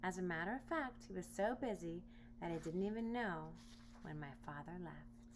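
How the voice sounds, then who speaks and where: A woman reading a children's storybook aloud in expressive narration. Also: fairly low pitch, normal speed. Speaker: storybook reader. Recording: noisy home recording with hum.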